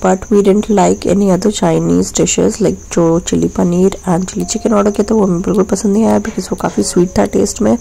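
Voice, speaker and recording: Creaky-voiced young Indian woman, vlog narration. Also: mid-range voice, fairly even intonation, normal speed, hardly any pauses, warm tone, poor audio. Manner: mixes Hindi and English. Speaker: food vlogger. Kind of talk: casual vlog voice-over.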